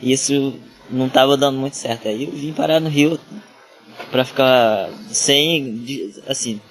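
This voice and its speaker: Northeastern Brazilian man, trail-running guest, middle pitch, meandering casual storytelling full of restarts. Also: a little slow, rough recording. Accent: northeastern Brazilian Portuguese. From Ceará, Brazil. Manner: rambling, with many restarts and fillers. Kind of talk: casual interview talk.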